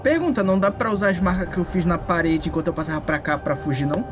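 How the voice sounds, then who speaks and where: Jogador brasileiro de voz bem aguda. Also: male voice, sits high, quick pace, music in the background. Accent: Brazilian. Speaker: tabletop game player. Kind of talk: casual game chat.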